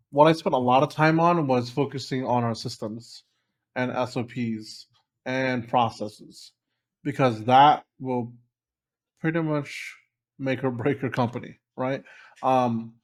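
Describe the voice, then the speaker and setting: A man answering interview questions in a loose, casual way, with frequent ums and you-knows. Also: mid-range voice. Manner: loose and conversational, with many fillers like um and you know. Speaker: guest, short-term rental company founder.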